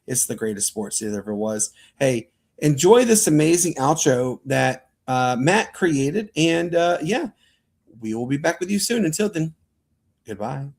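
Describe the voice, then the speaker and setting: A man's voice: a little high, big pitch swings, unhurried, gravelly, darkish tone. An enthusiastic man hosts a casual sports livestream. He talks in a promotional, friendly-chat style, like someone signing off a show and plugging what comes next. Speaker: sports talk show host.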